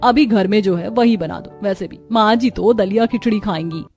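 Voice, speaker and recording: A woman's voice: mid-range voice, moderate pace, darkish tone, faint music behind, lightly acted. Woman as a resentful, lazy young daughter-in-law. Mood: dismissive. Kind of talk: cartoon moral story dialogue.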